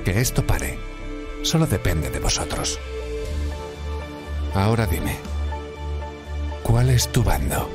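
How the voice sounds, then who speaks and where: A man's voice: low-pitched, sing-song, takes it slowly, pauses often, full character voice. A man playing a grave military narrator briefing apocalypse volunteers in scripted dramatic narration. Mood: grave, ominous. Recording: loud music under the voice.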